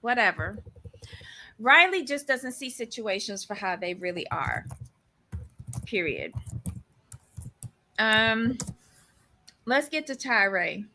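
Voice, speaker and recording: A woman's voice: on the high side, sing-song, slow, long pauses, pushed and bright, a little hiss or hum. A woman with a Barbadian accent, co-hosting a casual recap show and giving blunt, opinionated takes. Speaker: podcast co-host.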